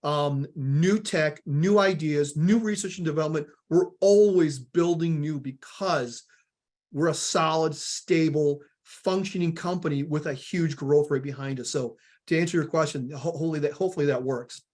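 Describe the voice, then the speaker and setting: A man with a Southern US accent presenting a product webinar, energetic and repeating words as he talks, often calling the audience guys. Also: on the high side, normal speed.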